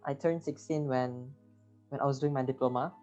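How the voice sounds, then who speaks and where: A man's voice: mid-range voice, fairly even intonation, normal speed, darkish tone, lo-fi sound, faint music behind. A young man, co-hosting a student podcast in casual chat, asking playful, teasing questions. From Indonesia. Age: young adult. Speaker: podcast co-host.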